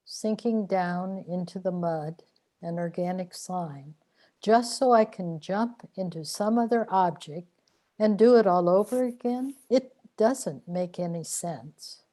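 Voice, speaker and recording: A woman's voice: fairly low pitch, slow pace, warm tone. A woman playing a weary, plaintive immortal seeker in a sci-fi radio drama. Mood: world-weary. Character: weary immortal seeker trapped in repeating lives.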